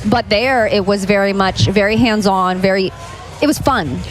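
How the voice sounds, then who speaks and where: A woman's voice: medium pitch, moderate pace, soft background music, rough recording. A woman around her forties chatting casually and anecdotally as a podcast co-host. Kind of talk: casual chat. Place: live podcast at a restaurant.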